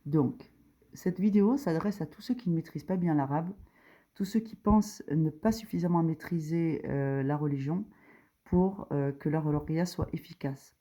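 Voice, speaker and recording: Low, husky-voiced woman teaching slowly. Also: dark tone. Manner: hesitant, many fillers, rising emphasis on key points. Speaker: religious teacher. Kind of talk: informal tutorial explaining a diagram.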